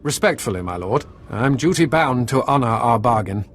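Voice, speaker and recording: A composed sci-fi game spymaster, played by a man, with a mid-pitched voice. He is formal, dutiful and courteous, measuring each phrase like an officer under orders. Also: expressive, normal speed, a little hiss or hum, natural voice.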